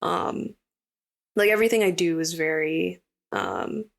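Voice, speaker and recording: A young woman from Hawaii, a guest artist, chatting casually in an interview with a relaxed, rambling manner and lots of fillers. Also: on the low side, unhurried, creaky.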